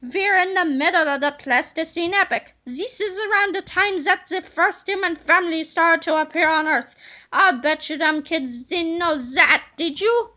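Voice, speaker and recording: Woman playing a haughty, high-pitched cartoonish male villain. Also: moderate pace. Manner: boastful, loud, sneering. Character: haughty villain inventor in giant robotic pants, male, sneering.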